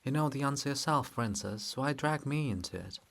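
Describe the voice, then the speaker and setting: A man's voice: mid-range voice, expressive, moderate pace, gravelly, fairly bright tone. A man voicing a grave, reluctant sister in a dramatic reading, terse and solemn. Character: grave, bitter, reluctant sister, a cruel truth-teller.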